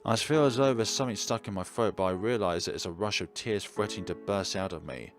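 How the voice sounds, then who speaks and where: A man's voice: lowish voice, quick pace, faint music behind, close to an everyday voice. A man reading an anguished, self-blaming teenage schoolboy who pleads and narrates his own story in a visual novel.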